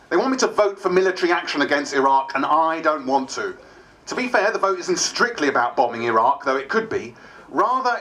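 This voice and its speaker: A man's voice: on the high side, fairly bright tone, lightly acted. A man playing a wry, candid diarist who is new to politics, narrating dramatised diary entries.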